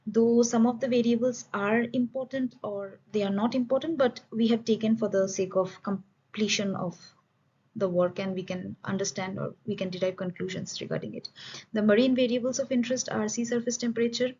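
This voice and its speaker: A woman gives an academic conference presentation as a researcher, in a formal, explanatory manner with halting phrasing. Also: on the high side, steady tone, dark tone, husky. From India. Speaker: student researcher presenting a study. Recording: dull, lo-fi voice recording.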